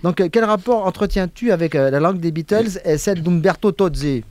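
Chatty male radio host, slightly high voice. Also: a little fast. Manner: relaxed, chatty, reads introductions. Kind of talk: radio interview hosting.

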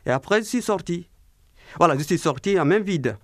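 A man in his twenties from Guinea, interviewed on the radio, answering in slow, careful sentences with some hesitations. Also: high-pitched, lively intonation, no echo, clean studio sound. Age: 20s. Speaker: interview guest, apprentice baker.

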